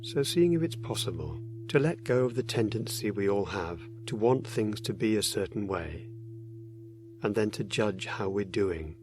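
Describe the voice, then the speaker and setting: A man narrating a guided meditation, giving gentle, unhurried instructions with many pauses. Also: fairly low pitch, slight background noise.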